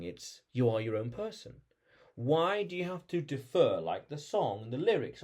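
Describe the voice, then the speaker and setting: Man, husky voice, reflective vlog monologue, repeats himself as he thinks. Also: mid-range voice, a little mellow. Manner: thinks aloud with restarts and repeated phrases. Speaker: vlogger.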